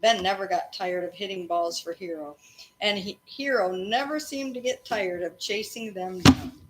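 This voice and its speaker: A woman teacher reading a storybook aloud to children expressively, pausing to explain and prompt her listeners. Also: mid-range voice. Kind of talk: interactive children's read-aloud of a storybook, with questions and asides. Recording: faint background noise.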